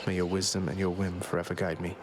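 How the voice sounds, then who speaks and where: Man as a deep-voiced wry young prince. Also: flat delivery, fast, noisy background, natural voice. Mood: dry, wry. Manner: dry, polite. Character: wry rebellious young male underworld prince. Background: loud music.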